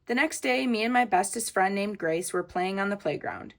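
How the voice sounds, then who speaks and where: Expressive woman reading a children's story. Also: mid-range voice, moderate pace, gravelly. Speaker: children's book reader. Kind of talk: read-aloud storytelling of a children's book, in a child narrator's words.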